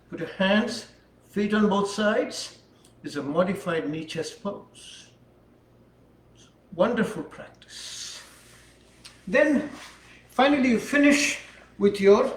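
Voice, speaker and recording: Calm yoga teacher, high voice, echoey. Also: male voice. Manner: calm, unhurried instruction while holding poses. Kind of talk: demonstrating and instructing yoga poses. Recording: echoey room, voice from far off.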